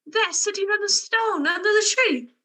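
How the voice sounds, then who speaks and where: A woman's voice: very high voice, moderate pace, sharp tone. A woman playing a shy, adoring, superstitious young country girl in a radio play. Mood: frightened.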